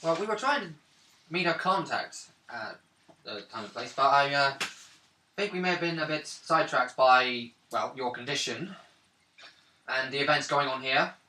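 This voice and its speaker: A man's voice: mid-range voice, moderate pace, pushed and bright. A man playing a tabletop role-playing game, talking casually and describing his character's moves. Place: indoor room around a gaming table. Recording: roomy, distant mic, faint noise, clicks.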